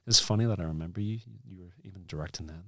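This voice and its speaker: A man hosting a relaxed conversational interview, thinking aloud in a halting way and circling back over his sentences. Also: deep voice, no echo, clean studio sound. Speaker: interview host, filmmaker.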